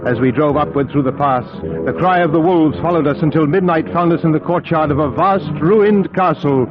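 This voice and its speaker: A man's voice: fairly high pitch, lightly acted. A man playing a polite, uneasy Englishman who speaks and narrates with precise diction in an old-time radio play. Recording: dull vintage radio recording, music behind.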